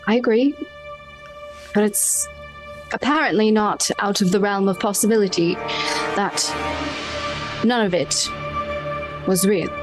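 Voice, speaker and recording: A woman talks through her turn at a tabletop game at a very unhurried pace, tentative and thinking aloud. Her pitch is average, and music is clearly audible behind her. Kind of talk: improvised in-game discussion and casual table talk. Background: background music.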